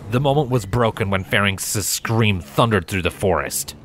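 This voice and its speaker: A man's voice: fairly low pitch, animated, normal speed. A man reading scripted fantasy fiction as a storybook narrator. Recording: music bed behind narration.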